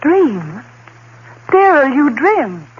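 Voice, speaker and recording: A woman's voice: sits high, big pitch swings, extremely slow, exaggerated voice. An actress playing a devoted, concerned sweetheart of a troubled man, solicitous and coaxing in a theatrical 1930s radio drama manner. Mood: delighted, teasing. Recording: muffled, hissy 1930s radio recording.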